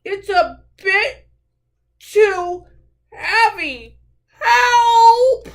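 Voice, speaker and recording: Lady doing a whiny little bear cub from a picture book, really high, voice goes up and down a lot. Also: lively intonation, bright, forceful tone, full character voice. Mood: straining. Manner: drawn-out, whiny storybook delivery. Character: plaintive young male bear cub longing for hugs.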